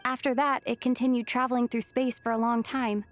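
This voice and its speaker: Woman playing an earnest young traveler, high voice. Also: little pitch movement, fairly quick, natural voice. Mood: pensive. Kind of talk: video game cutscene voice acting. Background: background music.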